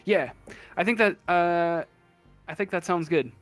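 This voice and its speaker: A man narrating a tabletop game casually as game master, improvising in a hesitant, halting way. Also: high-pitched, dry recording, faint music behind.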